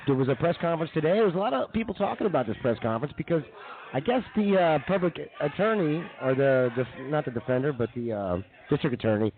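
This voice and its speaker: A man hosting a live comedy show, running through news stories in a casual, halting, chatty way. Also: on the high side, lively intonation, narrow, tinny audio. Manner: halting, informal, chatty delivery.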